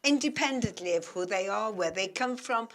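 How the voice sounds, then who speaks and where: A woman's voice: mid-range voice, normal speed. Woman giving simultaneous interpretation. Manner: steady, careful relaying of a read talk.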